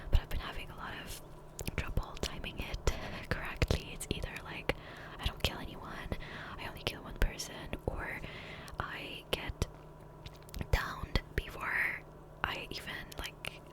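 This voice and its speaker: A woman whispers softly and breathily, close to the microphone. She rambles in a casual, unhurried way, as an ASMR creator narrating gameplay. The voice stays fully whispered. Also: rough recording. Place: voiceover recorded separately from gameplay. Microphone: Blue Yeti USB microphone, close.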